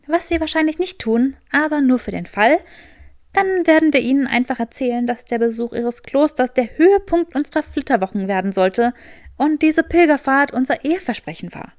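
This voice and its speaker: A woman's voice: sits high, no echo, clean studio sound. A woman voicing a clever, earnest and determined young witch, explaining eagerly in an audiobook.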